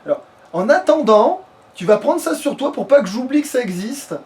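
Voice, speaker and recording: High-voiced man rambling over a game. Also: big pitch swings, normal speed, darkish tone. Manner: rambling, crude joking. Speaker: let's play host. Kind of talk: casual gaming chat.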